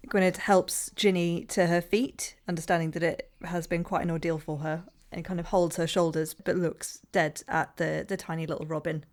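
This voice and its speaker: A woman's voice: fairly low pitch, fairly even intonation, moderate pace, few pauses. A woman playing a tabletop roleplaying game, improvising narration of her character and asking questions.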